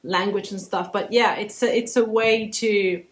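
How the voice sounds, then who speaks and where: A woman whose first language is Spanish talks as a guest on a casual podcast. Her speech is animated and halting, full of restarts as she searches for words. Her voice sits at a mid pitch. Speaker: guest artist.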